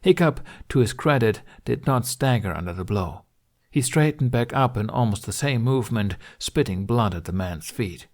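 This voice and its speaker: A man's voice: mid-range voice, lively intonation, normal speed, a little mellow. A man reading fiction as an audiobook narrator, in a dramatic scripted storytelling style.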